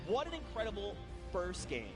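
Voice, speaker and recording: A man calling a live esports tournament in an arena, excitable and rising to shouts on big plays. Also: sits high, normal speed, over music. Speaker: esports caster. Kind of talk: live tournament play-by-play commentary. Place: large arena with a live audience.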